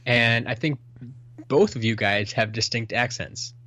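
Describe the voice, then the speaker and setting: A man's voice: mid-range voice, a little slow, bright, forceful tone, no room sound. A man co-hosting a casual podcast, reading listener emails and chatting with many restarts and fillers. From Washington State, US. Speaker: podcast co-host.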